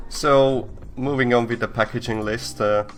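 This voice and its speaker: A young man hosting a casual live stream, talking haltingly with many fillers and restarts. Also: medium pitch, steady tone, faint music behind. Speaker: host.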